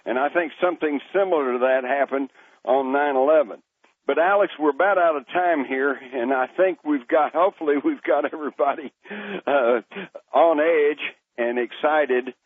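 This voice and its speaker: A man hosts a radio interview and asks his questions in an easy, conversational manner. His voice sits a touch high, and it sounds thin, as if heard over a phone line. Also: normal speed, no room sound. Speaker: radio host.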